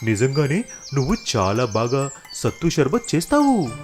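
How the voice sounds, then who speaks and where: A man's voice: mid-range voice, big pitch swings, moderate pace, soft background music, natural voice. A man voicing a good-natured poor village labourer husband, exclaiming expressively in a cartoon story. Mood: delighted.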